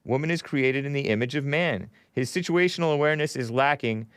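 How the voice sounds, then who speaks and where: A man's voice: medium pitch, fairly quick, clean tone, no echo. A man hosting a call-in show, arguing scripture with callers and reading out viewer messages, with chuckles as he reads. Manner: argumentative, quotes scripture, chuckles while reading.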